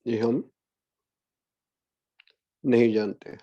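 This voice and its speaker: A man guiding a meditation as a spiritual discourse, speaking in a measured way with long pauses. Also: medium pitch, steady tone, dark tone, no echo. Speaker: meditation guide. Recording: dull, lo-fi, dry audio.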